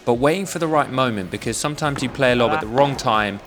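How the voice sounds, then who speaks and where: A man's voice: medium pitch, fast. A young man narrating his sports vlog in an upbeat, matter-of-fact voice-over. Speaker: padel vlogger. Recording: music bed under voice-over.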